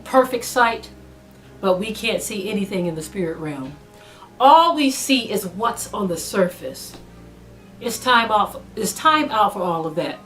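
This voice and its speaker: A woman preaching a sermon to an online audience, earnest and exhorting, slowing down to stress her points. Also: mid-range voice, a bit bright. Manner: earnest, exhorting, slow and emphatic with rising intensity. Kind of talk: spoken sermon to a video audience.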